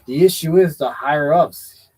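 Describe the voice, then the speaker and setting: A man's voice: fairly high pitch, moderate pace, darkish tone, husky. A man hosting a casual wrestling chat show, giving blunt, opinionated takes and often hedging with "I feel like". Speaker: podcast host.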